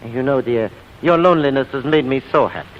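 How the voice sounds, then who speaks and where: Actor as smitten male suitor, medium pitch, pompous, flowery, theatrical, 1940s comedy, muffled vintage recording. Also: fast, close to an everyday voice. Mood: adoring. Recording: muffled old soundtrack, faint noise.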